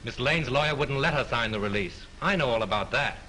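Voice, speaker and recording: A dry-witted mystery novelist in an early-1930s film. His voice is bright and crisp, and he teases the police with easy confidence over faint hiss. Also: medium pitch, expressive, brisk, rough recording. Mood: mocking. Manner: dry, mocking wit. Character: wisecracking, cool male mystery novelist.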